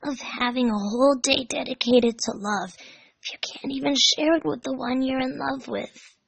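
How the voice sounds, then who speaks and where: A woman voicing a shy, lovesick fourteen-year-old boy fox in a story reading, softly and earnestly. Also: high voice, moderate pace, vocal fry, breathy edge, dry recording, voice pushed up, heavily acted, rough recording. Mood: glum.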